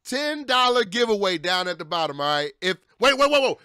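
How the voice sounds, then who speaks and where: A man in his thirties giving casual, slangy reaction commentary with animated banter and swearing, close on a studio mic. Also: sits high, fairly quick, smooth, no echo. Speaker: reaction video host. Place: small home streaming room. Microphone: close studio mic on boom arm, headset.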